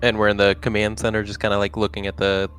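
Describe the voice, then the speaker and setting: A man's voice: lowish voice, completely flat delivery, quick pace, a bit bright, a little hiss or hum. A man chatting casually as a player in a tabletop roleplaying game.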